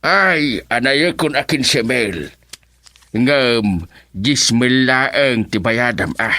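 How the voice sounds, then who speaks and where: A man acting as a jolly, generous older man with drawn-out phrasing in an Ilocano radio drama. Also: mid-range voice, animated, bright, forceful tone, heavily acted. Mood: amused, generous.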